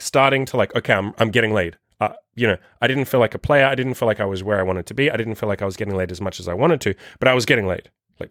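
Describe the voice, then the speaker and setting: A man's voice: medium pitch, a bit bright, no echo, studio quality. A man in his thirties hosting a dating podcast, talking bluntly and emphatically with lots of swearing in a solo motivational monologue. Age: 30s. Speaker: dating coach podcast host.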